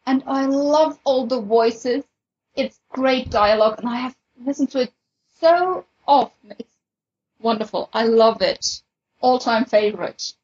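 A woman's voice: fairly high pitch, a little slow, darkish tone, husky. A woman chatting casually on a podcast panel, enthusiastically recommending a favourite, on slightly dull audio.

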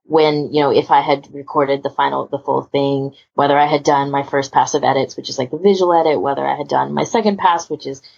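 A woman's voice: extremely low pitch, fairly even intonation, fairly quick, creaky, hardly any pauses, darkish tone. A woman hosting a casual panel chat in an informal, rambling, self-deprecating way, on a muffled recording. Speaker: podcast host.

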